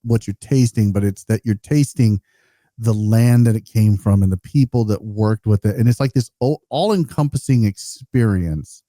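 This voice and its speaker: A man's voice: on the low side, normal speed, warm tone, dry recording, clean studio sound. A man co-hosting a podcast, asking questions and thinking aloud with some hesitation. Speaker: podcast co-host. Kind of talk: casual podcast conversation.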